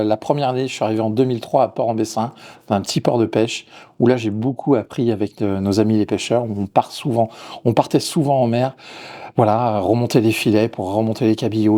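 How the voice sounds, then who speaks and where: A man's voice: fairly low pitch, steady tone, brisk. A middle-aged man from Lyon, a chef and restaurant owner, answering interview questions with frequent restarts and repeated words. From Lyon, France. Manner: hesitant, repeats words, warm about his work.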